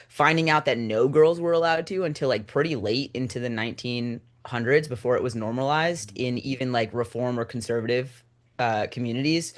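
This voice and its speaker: A man with a medium-pitched voice speaks as a filmmaker guest in a relaxed interview format. His delivery is informal and reflective, with phrases that often trail off as he thinks. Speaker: screenwriter and director guest. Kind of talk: casual conversational interview.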